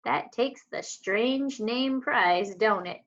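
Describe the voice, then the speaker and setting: A woman voicing a kindly old lady from a children's storybook, folksy and unhurried. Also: a little high, fairly bright tone. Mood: folksy, welcoming. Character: kindly old Southern woman with poor eyesight, warm and folksy. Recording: dull lo-fi home recording.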